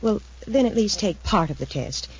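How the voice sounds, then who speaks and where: A woman's voice: medium pitch, animated, normal speed, creaky, husky, exaggerated voice. An actress playing an anxious but expert female research assistant in a 1950s radio adventure, precise and earnest. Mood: businesslike. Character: nervous, expert female research assistant in over her head. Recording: muffled 1950s radio recording.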